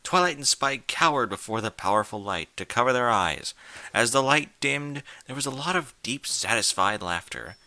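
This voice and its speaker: A man reads a story aloud as a theatrical narrator, leaning on his words. His voice is strikingly bright and forceful with lively swings in pitch, recorded close and dry. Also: normal speed, dry recording. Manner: theatrical, emphatic. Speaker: narrator, reader of fan fiction. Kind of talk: dramatic scripted story narration.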